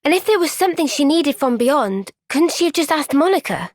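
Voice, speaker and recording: A woman with a British accent voicing an earnest, gentle, thoughtful young woman soldier in a video game cutscene. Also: high voice, sing-song, fairly quick, dry recording. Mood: concerned.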